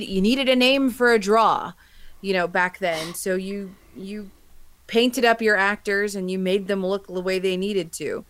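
A woman's voice: mid-range voice, a bit bright, no room sound. Emphatic woman podcaster, close dry sound. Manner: emphatic, exasperated, blunt. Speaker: podcast panelist. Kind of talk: casual opinionated panel discussion.

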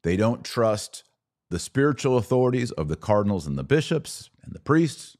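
A man with a low-pitched voice hosts a live commentary podcast. He speaks emphatically and deliberately, leaning on repeated phrases to press his points. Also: a little mellow. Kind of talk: live political and religious commentary.

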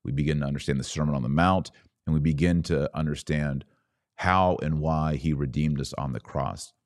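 Deep-voiced man teaching scripture. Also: steady tone, normal speed, no echo, clean studio sound. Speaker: podcast host. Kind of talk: religious teaching podcast, explaining scripture.

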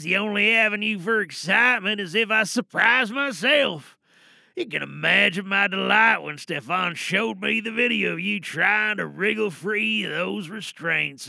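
A man acts out a gloating, lovestruck supervillain for an audio drama. The voice is high and piercingly bright, very close and dry. Every phrase is slow, theatrical and savored. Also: creaky, no room sound, exaggerated voice. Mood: smitten, unsettled. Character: flamboyant, gloating supervillain, secretly smitten with captive hero.

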